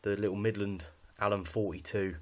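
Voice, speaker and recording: Low-pitched British man, casual gear walkthrough. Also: steady tone, moderate pace. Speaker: hobbyist presenter showing radio kit.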